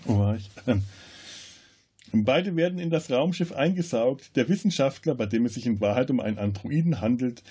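A man's voice: mid-range voice, expressive, normal speed, dark tone, husky. A man talks about a film as a podcast host in a casual way, often searching for words. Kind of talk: casual film discussion with scene retelling.

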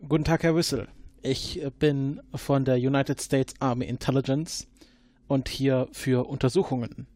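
A man's voice: mid-range voice, level tone, measured pace, darkish tone. A man questions a suspect politely and formally in a tabletop game, tripping over his words at times. His voice sounds dry and close, with no room echo. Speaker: player.

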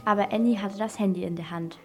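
Female narrator of medium pitch. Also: steady tone, fast, over music. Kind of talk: scripted storytelling for children's radio play.

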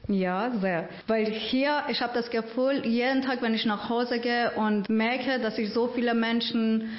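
A woman's voice: on the high side, steady tone, unhurried. Woman from Iran, earnest simple phrasing, roomy echo, dull lo-fi sound. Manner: simple, earnest non-native German with grammar slips. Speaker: integration agency worker. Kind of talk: interview answers.

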